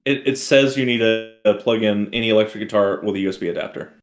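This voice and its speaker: Male co-host, lower pitch, roomy echoey mic, plain explaining manner. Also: fast. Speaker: podcast co-host. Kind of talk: casual chat. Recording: echoey room.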